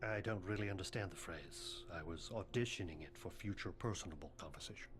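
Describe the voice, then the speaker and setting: A man playing a morbid, pompous, bookish headmaster of an occult academy in a video game cutscene, speaking in ornate, deadpan phrases. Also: sits low, a little fast, lightly acted. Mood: dry, macabre.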